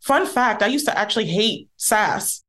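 A woman guest on an interview podcast who explains her work in a lively, emphatic conversational manner. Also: mid-range voice, moderate pace. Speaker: podcast guest, content strategist.

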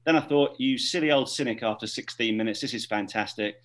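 A man's voice: mid-range voice, brisk, fairly bright tone. A man who is a sports presenter, a guest on a fan podcast, talking in a self-deprecating way with hesitant restarts. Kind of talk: casual podcast chat.

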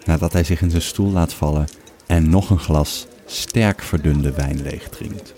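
Male narrator, extremely low pitch, dark mellow tone, measured, wry, scripted storytelling.

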